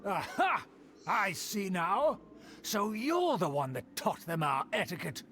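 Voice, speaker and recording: A pompous old noble uncle. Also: male voice, high-pitched, very animated, slow pace, bright, forceful tone, faint music behind, heavily acted. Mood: indignant. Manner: pompous, lecturing. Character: pompous older noble uncle, haughty and indignant. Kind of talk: video game voice acting.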